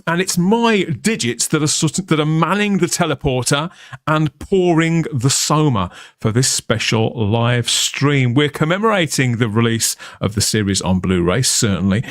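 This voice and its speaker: A man's voice: medium pitch, expressive, moderate pace, no echo, studio quality. A middle-aged man with a Birmingham accent hosting a live stream chat in a playful, jokey way. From England. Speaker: host.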